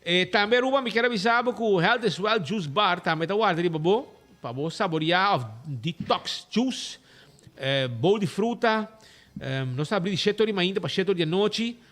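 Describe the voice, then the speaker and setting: A man's voice: high-pitched, normal speed. A man with an Aruban accent hosting a radio talk show, chatting loosely, reading ads and switching between languages. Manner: lively, rambling, mixes languages.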